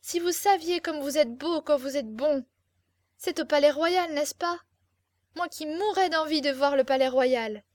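A woman's voice: high-pitched, normal speed, dry recording, clean studio sound, voice pushed up, lightly acted. A woman reading a storybook, voicing a fiery, imperious young captive beauty with impassioned, theatrical exclamations. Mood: elated.

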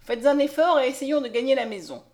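A woman narrator voicing a kindly, reassuring old gentleman doctor in a calm, measured storybook manner. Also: high voice, voice pushed up.

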